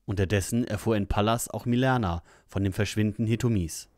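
A man's voice: lowish voice, a little fast, darkish tone. A man reading scripted narration for an audio drama.

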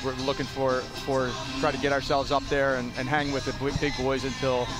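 Middle-aged coach, flat halting talk. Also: male voice, mid-range voice, loud music behind the voice, rough recording. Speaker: lacrosse coach. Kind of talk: post-game sideline interview. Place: outdoor stadium sideline at night. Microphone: broadcast headset mic.

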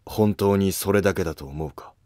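A grave, watchful retired emperor in failing health , played by a man for an animated period drama. His voice is low and terse, with quiet command and a dry recording. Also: a little fast, no room sound, natural voice. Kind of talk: Japanese historical animated drama acting.

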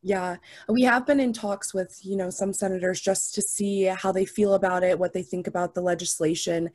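A woman's voice: medium pitch, fairly even intonation, normal speed, a little mellow. A woman in her twenties speaking informally as a panelist on a video call. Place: room at home, on a video call.

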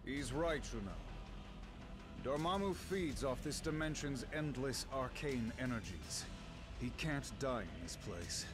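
An actor playing a solemn, self-assured middle-aged sorcerer hero in a video game, formal and theatrical. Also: male voice, mid-range voice, expressive, measured pace, close to an everyday voice. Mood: grave, determined. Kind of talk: dramatic video game acting. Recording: loud music over the voice.

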